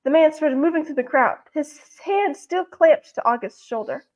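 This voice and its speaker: A woman's voice: high voice, expressive, moderate pace, darkish tone, dull audio. A woman reading a story aloud expressively on a livestream, acting out the dialogue as she goes.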